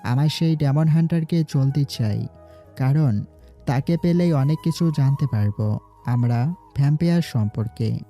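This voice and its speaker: A man's voice: mid-range voice, normal speed, mellow, lightly acted. A man voicing a commanding young city boss in an audio drama, giving firm orders. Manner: commanding, gives orders firmly. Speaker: voice actor. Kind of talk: Bengali audio drama acting. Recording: faint background music.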